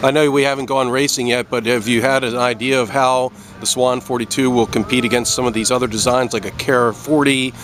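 A man's voice: mid-range voice, fairly even intonation, a little fast, hardly any pauses. A man working as an interviewer, asking conversational questions outdoors. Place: on a boat in a marina, outdoors.

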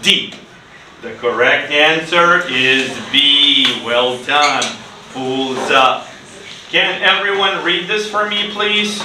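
Noisy distant classroom recording, middle-aged man, slightly high voice, English teacher, short instructive prompts, questioning students. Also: slow. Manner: instructive, short prompts and repeated phrases for learners to echo. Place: classroom, standing at the board.

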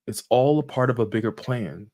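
A man's voice: mid-range voice, lively intonation, normal speed, warm tone. A man in his forties hosting a channel, reading a scripted sermon review from a home office with small slips and restarts. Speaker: channel host.

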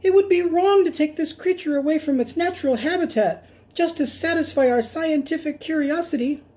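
A man's voice: extremely high pitch, brisk, a little mellow, voice pushed up. A man voicing an earnest, principled female mouse in a storybook, speaking emphatically. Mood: earnest, objecting.

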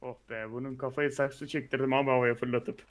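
A man's voice: medium pitch, level tone, brisk. A man bantering rowdily with friends in an online roleplay game, crude and taunting, swearing constantly. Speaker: gaming streamer playing online roleplay.

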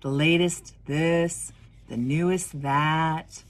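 A woman in her forties reading a children's story expressively, with deliberate pauses and emphasis. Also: sits low, steady tone. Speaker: minister of religious education reading a picture book.

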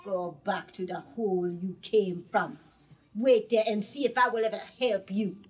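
A woman's voice: medium pitch, fairly even intonation, measured pace, a little mellow, slightly rough. A woman voicing an ancient, snappish witch in a storybook reading, emphatic and scornful.

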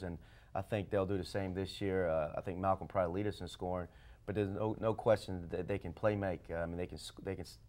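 A middle-aged man with a lowish voice talks as a basketball coach on a studio set. He speaks in a measured way, leaning on fillers and restarting sentences. The sound is a clean lapel mic in a TV studio. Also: a little mellow. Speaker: head basketball coach, show guest. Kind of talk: casual studio interview.

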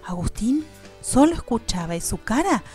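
A woman with an Argentine accent narrating a children's story in an expressive storytelling style. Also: on the high side, normal speed, faint music behind.

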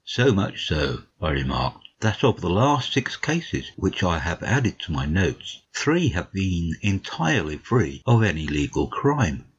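A man playing a courteous gentleman narrator, telling a detective story in a measured, literary way, on a dull recording. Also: deep voice, sing-song, husky, exaggerated voice.

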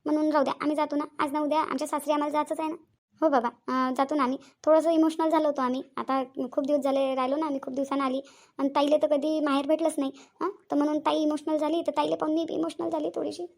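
A woman's voice: high-pitched, level tone, fast talker, gravelly, a little mellow. A woman playing a tender, earnest young married village woman in a family drama, with a Varhadi Marathi accent and an emotive, pleading way of repeating phrases. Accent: Varhadi Marathi from Vidarbha. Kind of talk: amateur family drama acting.

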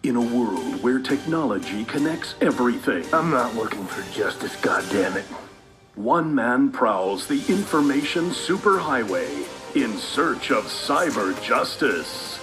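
An actor playing an over-the-top film trailer announcer and tough action hero, with dramatic, grim delivery. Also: male voice, mid-range voice, big pitch swings, measured pace. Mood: grimly dramatic. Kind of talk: dramatic film trailer narration and one-liners. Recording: loud music behind.

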